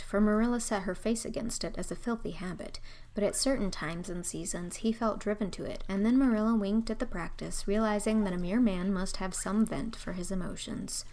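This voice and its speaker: A woman's voice: mid-range voice, darkish tone, a little hiss or hum. A woman narrating an audiobook in a scripted storybook reading style.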